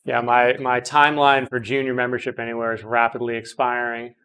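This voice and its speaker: A man in his late thirties talks easily on a golf course, chatty and relaxed in his phrasing. He laughs often between shots, and his voice sits at an ordinary middle pitch. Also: fairly quick, smooth. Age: 30s. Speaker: golf stream host. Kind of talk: casual chat while playing golf.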